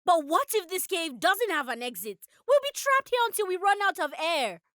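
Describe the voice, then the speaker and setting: A woman's voice: very high voice, very animated, normal speed, fairly bright tone, pitched above the performer's own voice, exaggerated voice. A woman voicing a feisty, indignant cartoon girl heroine with a bickering, scolding way of talking. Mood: exasperated.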